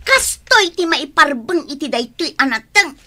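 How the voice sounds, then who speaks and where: Radio drama role: vain young Ilocano woman, haughty and dismissive, very high and widely animated. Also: measured pace, noisy background. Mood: scornful, angry. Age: young adult. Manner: proud, snappish, dismissive. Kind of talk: Filipino radio drama acting.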